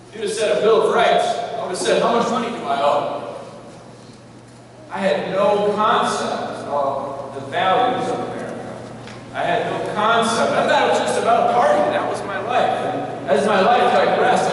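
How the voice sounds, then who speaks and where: Man, 40s, loud high voice, animated keynote speech, big armory hall, far-off mic, long echo. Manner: animated, addresses the crowd directly. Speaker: keynote speaker. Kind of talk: public speech. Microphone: handheld mic held low. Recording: echoey hall, distant mic, noisy.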